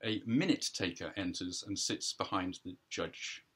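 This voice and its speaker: A man's voice: low-pitched, unhurried, a bit bright, slightly rough. A man in his sixties reads out stage directions from a script in a plain narrating style. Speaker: playwright reading own script. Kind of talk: scripted read-through, reading stage directions. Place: small dim room indoors, reclining.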